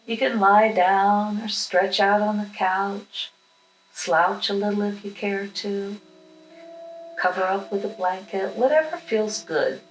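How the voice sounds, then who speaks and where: Slow-paced woman leading guided meditation. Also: mid-range voice. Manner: calm, unhurried, instructional. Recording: roomy echo with music behind.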